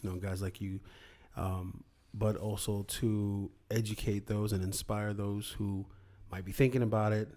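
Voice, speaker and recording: A man hosting a casual podcast interview, supportive and quick with affirmations. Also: on the low side, level tone, mellow. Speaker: podcast host.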